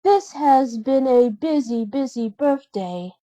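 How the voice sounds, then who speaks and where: A woman voicing a sweet, grateful young heroine in a children's storybook, gentle and pleased. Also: high-pitched, animated, takes it slowly, dry recording, voice pushed up.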